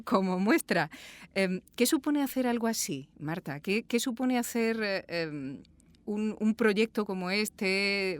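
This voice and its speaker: Female host, slightly low register, dry studio sound, conversational interviewing. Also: no echo. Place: small recording booth.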